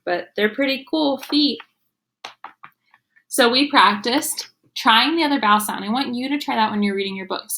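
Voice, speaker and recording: A woman's voice: on the high side, pushed and bright, a little hiss or hum. A woman teacher reading aloud to young children, explaining as she goes in an instructive way and sounding out words. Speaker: elementary school teacher.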